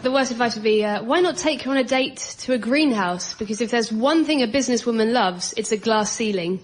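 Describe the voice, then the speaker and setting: Husky woman, wry comedy banter. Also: fairly high pitch, brisk. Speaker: comedy panel guest.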